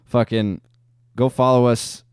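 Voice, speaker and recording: A man's voice: medium pitch, level tone, a little slow, a little mellow, smooth, no echo, studio quality. A man co-hosting a podcast, rambling and swearing freely in crude casual banter. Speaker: podcast co-host. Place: podcast studio.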